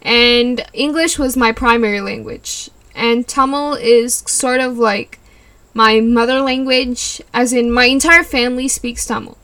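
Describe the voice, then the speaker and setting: Young female podcaster, higher and bright tone, loose rambling chatter, giggles often. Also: fairly even intonation, a little slow. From California. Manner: rambling, giggly, lots of likes and you knows. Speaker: podcast host. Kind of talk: casual solo podcast chat.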